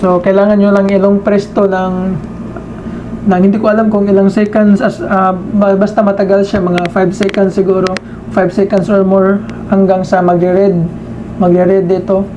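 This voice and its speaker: A man giving a casual hands-on product demonstration as a reviewer, halting and repeating himself as he searches for words. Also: sits high, little pitch movement, noisy background.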